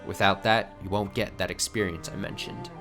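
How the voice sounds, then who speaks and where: A man's voice: deep voice, fairly even intonation, normal speed, over music. A man streaming live reaction commentary on game cutscenes, exasperated and sweary, often repeating his phrases. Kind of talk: live reaction commentary, reading subtitles aloud.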